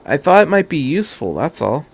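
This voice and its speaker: A man voicing a timid, evasive, anxious teenage boy in a fan fiction audiobook, quiet and hesitant. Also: fairly high pitch, sing-song, a little fast, gravelly, husky, exaggerated voice.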